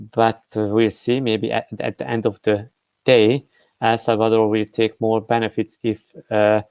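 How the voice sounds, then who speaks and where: A man talks through the day's news off the cuff, pausing on frequent fillers and restarting his sentences. His voice sits fairly low and comes across smooth and resonant. The sound is close and dry. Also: a little mellow, no echo. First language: Hungarian. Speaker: crypto news host. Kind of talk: unscripted commentary on news.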